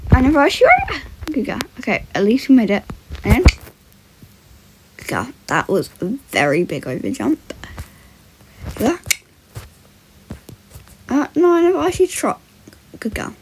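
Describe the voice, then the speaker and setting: Young woman, slow-paced, slightly high voice, casual riding commentary, short soothing commands and praise to a horse. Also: noisy background. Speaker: horse rider in a voiced role-play video. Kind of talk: casual vlog commentary with coaxing asides to a horse.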